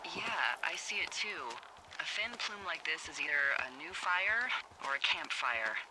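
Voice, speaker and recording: A woman's voice: deep voice, animated, a little slow, very noisy. A woman playing a wry, teasing American fire lookout in a naturalistic video game, chatty and sardonic, heard over a two-way radio. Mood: helpful, easygoing.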